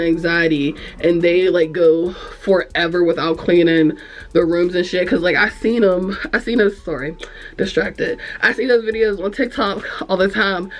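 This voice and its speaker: A young woman with a congested, nasal voice, rambling frankly to camera in a room at home, easily distracted and cursing. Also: mid-range voice. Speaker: vlogger. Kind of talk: casual chat to camera.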